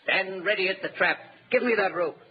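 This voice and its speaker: Man playing a high-pitched, imperious villainous commander. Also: fairly quick, close to an everyday voice. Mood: menacing. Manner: formal, imperious, barking orders. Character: pompous, ruthless male commander of a masked vigilante order. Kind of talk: 1930s radio acting. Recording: dull, noisy 1930s radio recording.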